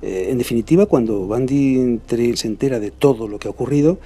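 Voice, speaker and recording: A man's voice: medium pitch, fairly quick, dark tone, husky. A man with a Castilian accent giving formal, reflective expert commentary as a forensic psychiatrist. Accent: Castilian Spanish. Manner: formal, rhetorical questions and emphatic lists.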